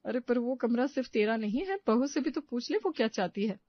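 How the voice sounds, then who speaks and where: A woman's voice: a little high, expressive, brisk, no room sound, highs cut off. A woman voicing an accommodating, sentimental older aunt in a family story drama. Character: affectionate older aunt, youngest sister, accommodating.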